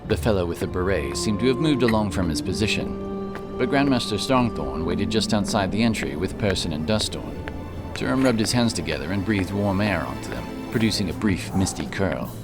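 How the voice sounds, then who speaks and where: A man's voice: low voice, expressive, a little fast, over loud music. A man reading scripted narration for a fantasy audio drama.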